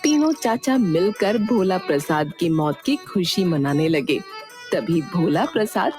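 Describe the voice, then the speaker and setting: Female storybook narrator, lowish and animated, over a music bed. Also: a little slow. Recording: music playing behind.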